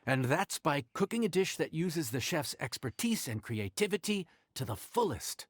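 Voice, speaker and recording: An actor playing a proud, grumbling inn chef in a fantasy video game, weary and exasperated. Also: male voice, a little high, sing-song, moderate pace. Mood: resigned, resourceful. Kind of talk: English video game voice acting. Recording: music behind the voice.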